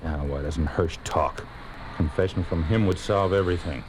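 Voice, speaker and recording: An actor playing a stern, suspicious communist secret police officer in a 1950s radio drama, curt and officious. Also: male voice, low-pitched, moderate pace, darkish tone, slight background noise, natural voice. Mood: irritated, suspicious.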